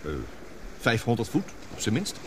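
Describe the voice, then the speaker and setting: A man acting in a radio play as a sceptical, loyal colleague, questioning and excitable. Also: mid-range voice, normal speed, noisy background, lightly acted. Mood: casual, hesitant. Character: sceptical, loyal male colleague, easily indignant. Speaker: radio drama actor.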